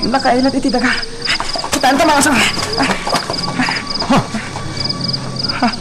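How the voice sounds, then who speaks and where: A man's voice: extremely high pitch, slow, heavily acted. A man with an Ilocano accent playing a hot-headed younger man in an audio drama. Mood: uneasy. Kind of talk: Ilocano radio-style love drama acting. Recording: loud music under the voice.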